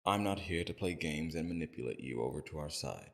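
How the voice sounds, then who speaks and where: A man playing a calm, courteous villain leader in an audio roleplay, speaking in a civil, measured, softly probing way with a very deep, gravelly voice. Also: heavily acted.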